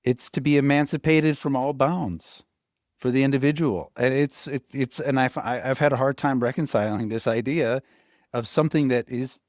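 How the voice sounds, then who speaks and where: A man's voice: mid-range voice, steady tone, a little mellow, dry recording. Guy co-hosting, stumbling a bit looking for words, middle voice, dry close sound. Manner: halting, searching for words. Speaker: co-host. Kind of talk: interview discussion.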